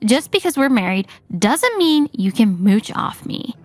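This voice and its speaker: Woman as a cool composed wife, fairly high voice, calm and cutting, manga dub, soft music behind. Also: unhurried. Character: calm confident wife leaving her husband.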